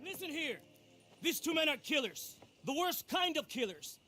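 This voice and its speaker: An actor playing a menacing gang leader in a Western game, declaiming to a lynch mob with strained force. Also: male voice, very high-pitched, big pitch swings, moderate pace, bright, forceful tone, faint music behind, full character voice. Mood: menacing, vengeful. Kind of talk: video game cutscene acting.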